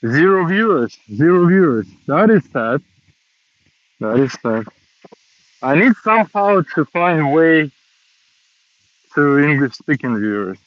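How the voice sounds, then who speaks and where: Man vlogging on a walk: slow, halting learner English, dull dry phone audio. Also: a little high, big pitch swings, dry recording. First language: Russian. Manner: slow, hesitant learner English with many pauses. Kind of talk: casual walking vlog monologue. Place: outdoors, walking around a city.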